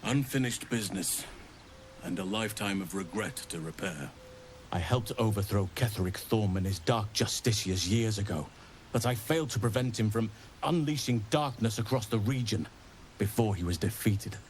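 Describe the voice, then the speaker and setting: An actor playing an earnest, learned druid burdened by regret, speaking in measured, formal phrases in a fantasy video game. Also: male voice, on the low side, slight background noise, lightly acted. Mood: grave, regretful. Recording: game music behind.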